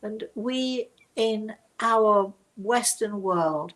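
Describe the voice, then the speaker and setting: An older woman telling reflective personal stories to her viewers in an emphatic, deliberate manner, often turning to rhetorical questions. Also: mid-range voice, low-quality recording. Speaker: speaker and storyteller hosting her own show.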